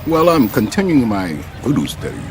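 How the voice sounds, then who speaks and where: A man's voice: mid-range voice, wildly swooping pitch, normal speed. An actor playing a genial, scholarly American expatriate in a radio drama, easygoing as he talks and explains. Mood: explaining, absorbed. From United States. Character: genial expatriate man, scholarly old friend. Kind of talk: naturalistic radio drama dialogue. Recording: radio play with music underneath.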